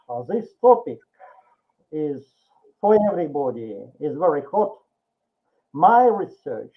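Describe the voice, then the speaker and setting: An older man with a Russian accent giving a formal, deliberate presentation as an academic panelist over an online call. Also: on the high side. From Soviet Russia. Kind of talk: prepared seminar presentation. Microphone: video call. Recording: tinny phone-like online call.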